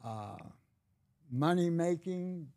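An old man in his eighties talks slowly and reflectively, with a wry, bawdy humor. His voice sits a little high for a man. The sound is dry and close. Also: animated, dark tone, no room sound. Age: 80s. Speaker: podcast guest. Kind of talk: casual chat.

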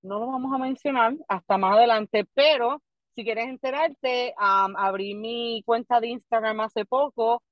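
A woman's voice: fairly high pitch, no echo, muffled recording. A young adult woman with a Puerto Rican accent, a comedian guest chatting casually in rambling Spanglish with lots of restarts. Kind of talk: casual podcast chat.

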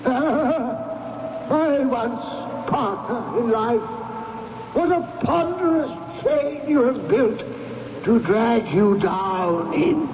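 An actor playing the tormented, mournful ghost of a dead businessman in a radio drama, speaking in a solemn, drawn-out wail. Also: extremely high pitch, animated, heavily acted. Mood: grim reproach. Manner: slow, drawn-out, wailing, solemn. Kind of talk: 1970s radio drama acting. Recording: echoing, noisy, muffled radio recording.

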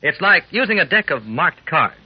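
A dull vintage radio recording of a male announcer addressing young listeners. He is earnest and folksy, with a husky, very animated delivery. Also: on the high side, normal speed. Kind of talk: 1940s radio scripted talk. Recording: muffled old radio recording.

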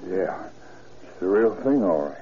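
An actor playing a cautious traveling salesman in an old radio crime drama, halting, wary and stammering. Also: low-pitched, lively intonation. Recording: dull old radio recording, noisy background.

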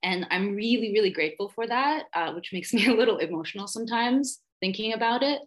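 A woman's voice: mid-range voice, lively intonation, brisk. A woman in her thirties, a perfumer who grew up in Dubai, talking reflectively and effusively as a guest in a casual interview. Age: 30s. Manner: reflective and effusive, searching for words with many fillers.

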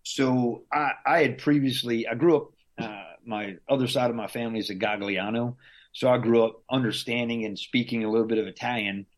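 A man's voice: medium pitch, fairly even intonation, brisk. A middle-aged man from Southern Louisiana telling stories as an interview guest, with many restarts and chuckles. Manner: rambling, frequent restarts and laughs. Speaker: interview guest, Green Beret veteran. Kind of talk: casual conversational storytelling.

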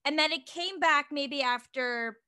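Bright, high-voiced giggly woman host. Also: unhurried. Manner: giggly and chatty, many "like" fillers. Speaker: podcast host. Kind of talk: casual podcast chat.

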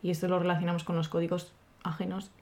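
A woman co-hosting a podcast in a lively, informal way, casually swearing as she talks. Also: fairly low pitch, fast talker. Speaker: podcast co-host.

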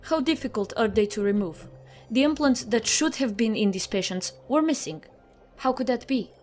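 A woman's voice: a little high, animated, normal speed, darkish tone, faint music behind, natural voice. An actress playing a formal, direct woman investigator who questions probingly in a science fiction audio drama. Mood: formal, inquiring.

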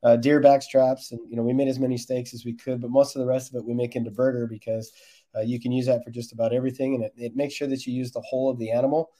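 A man's voice: medium pitch, level tone, fast, dark tone. A man who coaches soccer, answering interview questions in a conversational, explanatory way.

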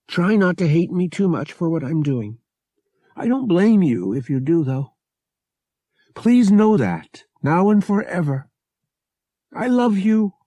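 A man's voice: a little high, mellow, husky, no room sound, voice pushed up, heavily acted. A man voicing an anguished but resolute young woman in an audiobook, earnest and pleading as in a farewell letter. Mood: resolute, loving.

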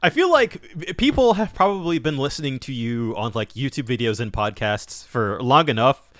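A man co-hosting a casual podcast, animated and quick to laugh, often stammering and restarting his sentences. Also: mid-range voice, dry recording. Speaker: podcast co-host.

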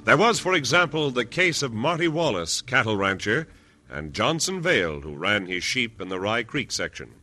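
A man's voice: medium pitch, very animated, normal speed. A man with an American accent narrating a 1950s radio western in a dramatic, declamatory style. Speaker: announcer and narrator. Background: loud organ music.

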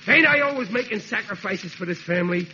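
A man playing an excitable, dim but soft-hearted working-class father, blustering theatrically in 1940s radio sitcom style. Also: high-pitched, quick pace, full character voice. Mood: self-pitying. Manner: exasperated, theatrical, ungrammatical bluster. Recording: noisy muffled vintage radio recording.

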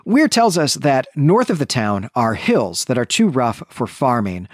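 A man's voice: medium pitch, sing-song, a little slow, no room sound, studio quality. A man co-hosting a literary discussion podcast, explaining in a discursive, enthusiastic way. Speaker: podcast host.

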